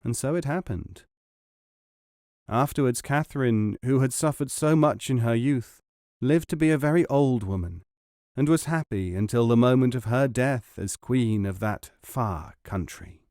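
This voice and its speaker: A man narrating a folk tale in a measured, expressive audiobook reading. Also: medium pitch, no room sound, clean studio sound. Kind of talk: scripted storybook narration.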